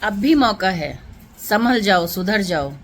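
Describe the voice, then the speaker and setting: A woman's voice: mid-range voice, expressive, slow pace. A woman vlogger delivering an emotional political monologue to viewers, impassioned and rhetorical, repeating phrases for emphasis.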